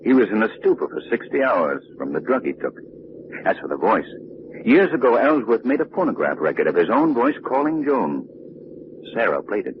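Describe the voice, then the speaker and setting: An actor playing a calm, knowing gentleman sleuth, explaining things in a measured way in an old radio drama. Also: lowish voice. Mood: composed. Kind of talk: 1940s radio drama acting. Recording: muffled, noisy old-time radio recording.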